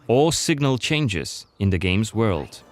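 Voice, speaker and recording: A man's voice: on the low side, big pitch swings, takes it slowly. A man presenting a game in scripted voice-over narration. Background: faint music.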